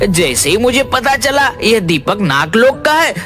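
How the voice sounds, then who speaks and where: Man playing a lively, humble poor woodcutter. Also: high voice, measured pace, faint music behind, exaggerated voice. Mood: earnest, flattering. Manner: pleading, deferential, earnest. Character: humble, clever poor woodcutter, village husband. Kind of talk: Hindi animated fairy tale dialogue.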